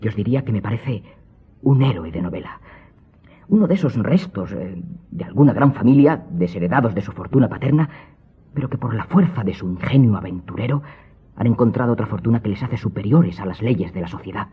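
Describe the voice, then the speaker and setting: A man's voice: lowish voice, expressive, fairly quick, slightly rough. A man playing an earnest young nobleman, a polite and trusting son, in a radio drama. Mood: musing, admiring. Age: young adult. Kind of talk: Spanish radio drama acting. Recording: muffled phone-like audio with music.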